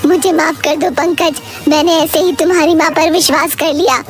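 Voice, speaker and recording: A woman voicing the vengeful ghost of a wronged young wife in an animated story, speaking low and heavy, with music behind. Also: normal speed, lightly acted. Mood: remorseful. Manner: grieving, accusing, low and heavy. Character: vengeful female ghost haunting a well.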